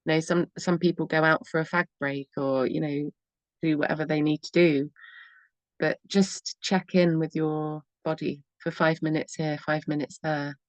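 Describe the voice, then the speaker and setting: A woman's voice: sits low, fairly even intonation, normal speed, no echo. A woman hosting a talk radio show, giving rambling, encouraging advice and laughing often. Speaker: radio show host and EFT therapist.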